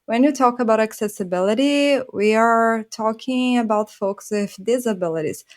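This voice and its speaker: A woman's voice: a little high, moderate pace. A woman from Brazil with a Brazilian accent, speaking earnestly as a guest on a design podcast and laughing often. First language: Portuguese. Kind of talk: conversational podcast interview.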